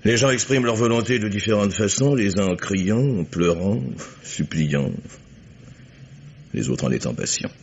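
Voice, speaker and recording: An actor playing a proud, guarded chief engineer in a radio mystery, speaking formally and in measured, clipped statements. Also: male voice, fairly low pitch, animated, a little mellow, breathy edge. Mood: cold calm. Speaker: actor in a radio crime drama. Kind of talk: French radio mystery acting. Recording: muffled old radio recording.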